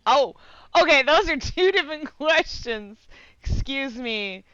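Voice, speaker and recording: A woman giving casual live game commentary, excitable and giggly, swearing and meandering as she thinks aloud. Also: high-pitched, a little slow, dry recording, highs cut off. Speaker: game streamer.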